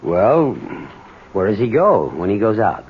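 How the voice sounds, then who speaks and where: A man's voice: on the low side, very animated, a little slow, husky, exaggerated voice. An actor playing a desperate, bitter middle-aged working man with an American accent, stammering and pressing in a 1970s radio drama. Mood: guarded probing. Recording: muffled, noisy 1970s radio recording.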